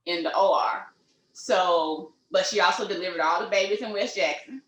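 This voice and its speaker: A middle-aged woman, speaking in a fairly low voice. She tells her story in an easygoing way, full of ums and you-knows. The recording has the echo of a room. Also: normal speed, fairly bright tone. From Mississippi. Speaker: pediatrician, interview guest. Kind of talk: casual interview conversation. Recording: echoey room.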